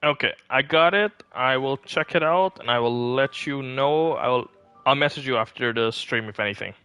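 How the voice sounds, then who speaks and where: A man's voice: medium pitch, normal speed, pushed and bright, clear, resonant tone. A man from Canada chatting casually as he hosts a game livestream. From northern Canada. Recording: faint game music behind.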